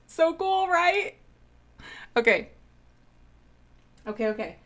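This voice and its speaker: A woman's voice: extremely high pitch, big pitch swings, slow, a bit bright. A woman hosting a casual craft live stream, bubbly and sniffly from a cold, greeting viewers by name.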